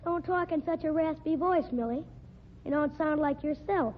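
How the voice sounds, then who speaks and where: A woman's voice: sits high, normal speed, natural voice. A woman playing a cocky, sly little sideshow man with pompous, needling, precise diction, in an old-time radio drama. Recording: muffled, noisy vintage radio recording.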